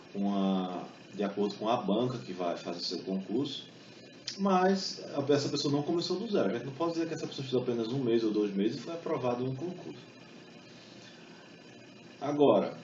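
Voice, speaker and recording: A young man giving a talk to an audience, speaking in a chatty way with many fillers and quick tag questions. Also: mid-range voice, a little mellow, slight background noise. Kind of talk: public talk with exam study tips. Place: event hall. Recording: distant echoey hall mic, dull sound.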